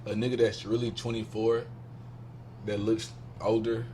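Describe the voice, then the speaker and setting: A man's voice: on the low side, little pitch movement, moderate pace, dark tone, slight background noise. A man in his twenties hosting a casual podcast, talking emphatically and speaking straight to the listeners. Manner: emphatic, swears, addresses listeners. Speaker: podcast host.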